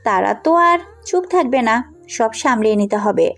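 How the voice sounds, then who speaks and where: Woman playing a headstrong, high-voiced young woman. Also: expressive, moderate pace, fairly bright tone. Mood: insistent. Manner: blunt, insistent. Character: headstrong, compassionate young woman, outspoken businesswoman daughter. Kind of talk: Bengali audio story acting. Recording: music under the voice.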